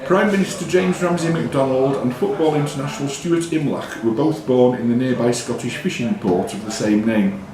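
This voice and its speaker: A man hosting a quiz, reading questions deliberately and giving short, curt rulings on the answers. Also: mid-range voice. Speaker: quizmaster. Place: indoors in a pub. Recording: very echoey room with pub crowd. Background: clear crowd chatter.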